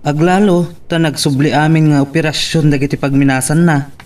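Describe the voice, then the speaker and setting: An actor playing a respectful business aide in a radio drama, with a Filipino accent. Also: male voice, on the high side, steady tone, fairly quick, a little mellow, close to an everyday voice. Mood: deferential. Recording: distant from the mic.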